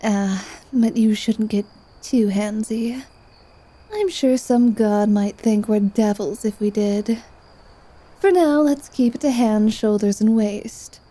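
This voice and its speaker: A woman voicing a flirtatious, mischievous vampire paladin in an ASMR roleplay, with a teasing, drawn-out delivery. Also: mid-range voice, steady tone, dark tone. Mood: flirtatious, hushed. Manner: slow, teasing, sultry delivery with drawn-out words.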